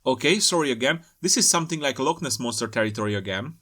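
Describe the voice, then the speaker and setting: Playful man with very animated, swinging pitch. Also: fast talker. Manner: playful, jokey asides. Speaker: host of a hobby video. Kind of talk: casual scripted-feel commentary on trailer pictures.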